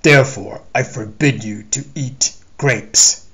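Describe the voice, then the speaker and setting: A man voicing a stern, wrathful male God who hands down commandments in slow, commanding proclamations. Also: medium pitch, a bit bright, breathy edge. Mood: solemn.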